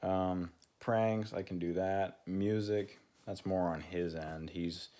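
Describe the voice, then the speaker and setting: A young man with a deep voice, talking casually to his channel's viewers and rambling with many fillers and restarts. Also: fairly even intonation, slow, dark tone. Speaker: channel co-host. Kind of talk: casual talk to camera.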